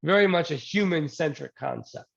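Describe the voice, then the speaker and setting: A man getting over a cold, giving a relaxed technical presentation to a user group over a video call, with plenty of ums and restarts and the odd joke. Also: on the high side, normal speed, creaky, lo-fi sound. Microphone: new desk mic, then a headset.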